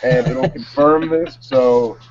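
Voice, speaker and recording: A man's voice: mid-range voice, takes it slowly, crowd noise. A man co-hosting a casual chat, giving opinions in informal, slangy talk. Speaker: co-host. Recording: slightly muffled sound.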